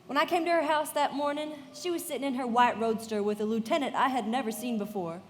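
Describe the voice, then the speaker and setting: A woman playing a poised young society woman who tells a story in measured, confiding narration in a live radio play, in a reverberant hall with music behind. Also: on the high side, slight background noise, close to an everyday voice. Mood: confiding, reminiscent.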